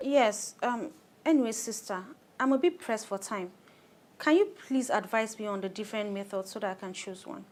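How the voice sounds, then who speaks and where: A woman's voice: medium pitch, moderate pace, close to an everyday voice. A woman with a Nigerian accent playing a harried but determined thirty-year-old mother in a radio drama, earnest and plain-spoken. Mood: pressed, polite. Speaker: clinic client in an educational radio play.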